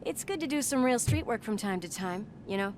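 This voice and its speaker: A woman playing a loyal, tough police officer in a video game, with brisk, dry banter. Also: fairly high pitch, expressive, lightly acted. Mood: dry, practical. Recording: vehicle and street noise behind.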